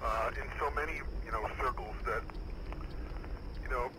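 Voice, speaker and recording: Loud noise and vehicle drone surround an American man talking on a two-way radio. He has a medium-pitched voice and chats casually, sounding earnest and opinionated. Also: moderate pace.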